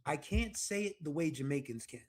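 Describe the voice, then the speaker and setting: An American man in his forties talks through a music episode in a loose, chatty way, wandering into asides and correcting himself as he goes. His voice sits a little higher than most men's. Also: expressive, a little fast, a little mellow. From United States. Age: 40s. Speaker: music podcast host. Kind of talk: casual music commentary.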